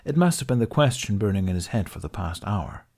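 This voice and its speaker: A man with a fairly low voice reads a story aloud. His narration is expressive and shifts into voices for each character. The delivery suits a fan audiobook. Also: fairly quick. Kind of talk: reading fan fiction aloud.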